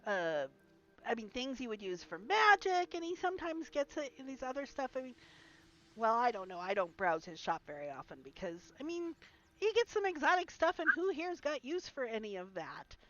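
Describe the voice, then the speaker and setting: A woman playing a gossipy villager, rambling and scoffing, lively, fairly high voice, slightly dull audio. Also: moderate pace. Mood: grumbling gossip. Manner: rambling, scoffing, trails off mid-sentence. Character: grumbling, gossipy common villager, adult.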